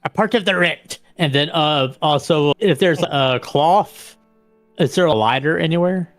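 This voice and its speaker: A man's voice: a little high, measured pace, no echo, soft background music. A man playing a tabletop role-playing game, casually describing what his character does.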